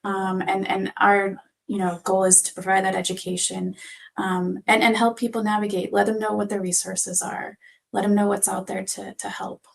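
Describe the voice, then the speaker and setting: Very creaky-voiced woman explaining evenly. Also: medium pitch, little pitch movement, moderate pace. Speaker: physician assistant, guest expert. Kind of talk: conversational explanation in an interview.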